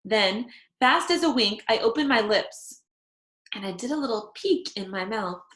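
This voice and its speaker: Animated, unhurried woman reading a storybook. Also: on the high side, roomy sound. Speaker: children's read-aloud storyteller. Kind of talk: expressive scripted storybook narration.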